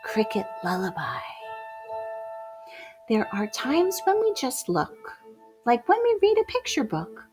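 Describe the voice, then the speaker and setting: Animated, high-voiced woman reading a children's storybook. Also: slow, long pauses, over music. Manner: theatrical, shifting from hushed whispers to loud exclamations. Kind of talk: animated read-aloud of rhyming picture book poems.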